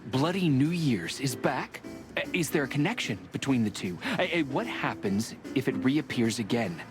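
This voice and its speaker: An actor playing a nervous, nosy seagull in a noir video game, chattering and stammering in an ingratiating way. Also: male voice, medium pitch, expressive, moderate pace, over music, natural voice. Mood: prying, excitable.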